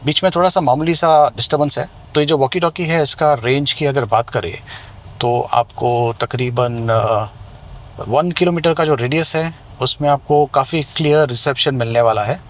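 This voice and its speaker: An Indian man presents a hands-on tech review in a conversational, explanatory way. His voice has a middling pitch, and some outdoor ambient noise sits behind it. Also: moderate pace. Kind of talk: hands-on product review, mixing Hindi and English. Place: outdoors near residential buildings.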